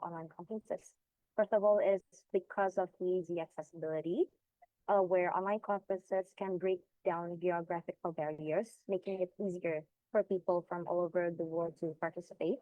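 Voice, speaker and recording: A woman in her twenties from Indonesia, an undergraduate student, giving a careful, measured prepared speech with filler pauses. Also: fairly low pitch, dry recording. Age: 20s. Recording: tinny phone-like recording.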